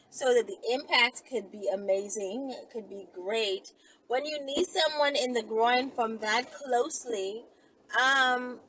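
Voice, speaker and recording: A woman vlogger with a somewhat high voice speaks off the cuff in a vehicle. She thinks aloud in a casual, conversational way, leaning on words for emphasis. Light noise sits behind her voice. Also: a bit bright, rough recording. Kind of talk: casual rambling solo talk. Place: inside a vehicle in traffic.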